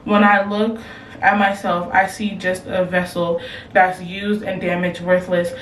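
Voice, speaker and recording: A woman's voice: medium pitch, distant mic, a little hiss or hum. Casting: young woman, frank and slangy advice vlogger, a bit off-mic, roomy room tone. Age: young adult. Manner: blunt, slangy, animated reactions. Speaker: advice vlogger reading submissions. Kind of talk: casual advice chat. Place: room at home. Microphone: camera, later phone.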